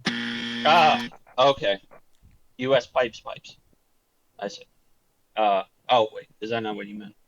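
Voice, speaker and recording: A man hosting a live-streamed matching game, joking and quick to laugh. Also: mid-range voice, steady tone, fairly bright tone, no echo, low-quality recording. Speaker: game show host.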